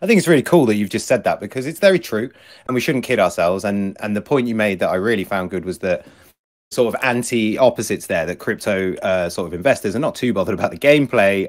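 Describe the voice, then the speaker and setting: Male interview host, lowish voice, friendly questioner. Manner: friendly, asks long questions. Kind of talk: hosting an online interview.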